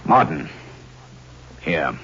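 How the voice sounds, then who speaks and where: Slow, swooping scheming killer. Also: male voice, rumbling low, big pitch swings, a bit bright, full character voice. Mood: sly scheming. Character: scheming male killer, sly, greedy and cowardly. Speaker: radio drama actor. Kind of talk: 1940s radio acting. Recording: dull, hissy vintage radio recording.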